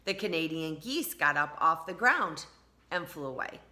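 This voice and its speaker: Bright, expressive woman reading to children. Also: low-pitched. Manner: expressive, addressing children directly, drawn-out emphatic words. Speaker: teacher reading aloud to children. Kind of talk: animated children's storybook read-aloud.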